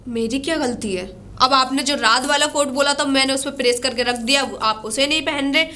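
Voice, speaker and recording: A woman playing an aggrieved, sharp-tongued young wife in an amateur family drama, complaining and arguing. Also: high voice, fairly quick. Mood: exasperated. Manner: complaining, argumentative.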